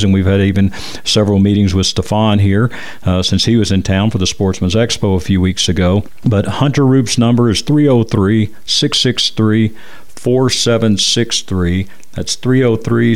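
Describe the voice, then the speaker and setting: A man with an American accent hosting a radio show, talking conversationally as he interviews and promotes. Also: lowish voice. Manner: repeats phone numbers slowly and deliberately.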